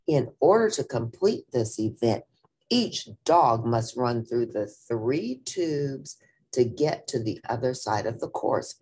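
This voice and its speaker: A woman's voice: a bass voice, animated, takes it slowly, darkish tone, breathy edge, full character voice. A woman voicing a friendly man announcing a dog show in a children's story, with a showy delivery. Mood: explanatory.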